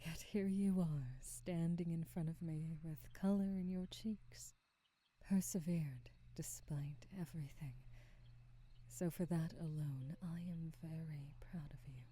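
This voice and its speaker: A woman playing a kindly, centuries-old witch shopkeeper in an ASMR roleplay, gentle, motherly and wise, in a soft breathy half-whisper with soothing, measured, formal phrasing. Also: deep voice. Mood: tender, reassuring.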